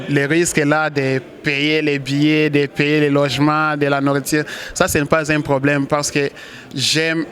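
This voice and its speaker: A man from Congo, a football manager, answering interview questions in a halting way with many restarts and pauses. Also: on the high side, fairly bright tone. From Congo (DRC).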